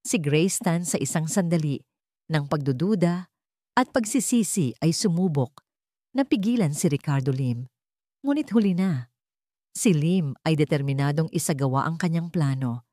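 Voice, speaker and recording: Lower-pitched woman narrating a dramatic story. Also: expressive, moderate pace, warm tone, no room sound, clean studio sound.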